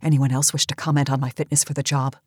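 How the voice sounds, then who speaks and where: Husky, low-voiced imperious older woman. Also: level tone, fast talker, dry recording. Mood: icy, offended. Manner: commanding, cutting, sardonic. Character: imperious aging cosmetics queen, sharp-tongued and vain. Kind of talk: campy audio drama acting.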